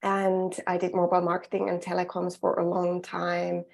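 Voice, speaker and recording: A woman's voice: lowish voice, monotone, moderate pace, vocal fry. A middle-aged woman from Finland with a Finnish accent, giving an earnest, encouraging webinar presentation as a well-being coach, often restarting her phrases.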